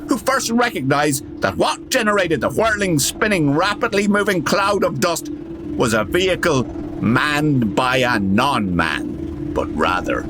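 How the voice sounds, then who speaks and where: A man playing a wry, dramatic narrator in an old-time radio parody, with deliberate theatrical emphasis. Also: fairly high pitch, very animated, noisy background.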